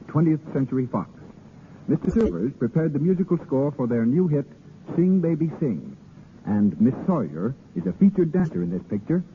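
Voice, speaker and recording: Vintage radio recording, light noise, man, formal announcer, scripted reading. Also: a little high. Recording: noisy, narrow-band 1930s radio recording.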